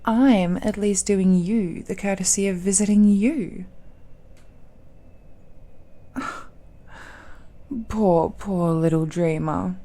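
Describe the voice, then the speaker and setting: A slow, mellow-voiced ancient cursed being, played by a woman, speaks like a smug and possessive lover. She teases and condescends in an intimate audio roleplay, lingering over her words with sighs and hums. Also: mid-range voice. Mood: bitter, mock pitying.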